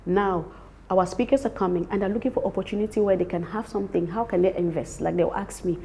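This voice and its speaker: A woman's voice: medium pitch, quick pace, mellow, slight background noise. A woman from Cameroon with a Cameroonian accent, speaking emphatically as an interviewed event organiser and repeating words for stress. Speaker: summit organiser being interviewed. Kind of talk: promotional interview talk.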